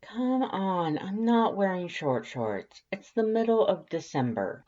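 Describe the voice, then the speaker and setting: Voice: mid-range voice, very animated, a little slow, mellow, highs cut off, pitched above the performer's own voice. A reader voicing a reluctant, wry young human who grumbles plaintively in fan fiction dialogue. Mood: whiny protest.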